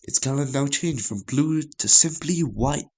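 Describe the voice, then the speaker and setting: A man with a noticeably creaky, gravelly voice at an ordinary middle pitch reads a visual novel aloud. His delivery is halting, as he trips on words and goes back over phrases while narrating. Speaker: gaming channel host reading a visual novel aloud.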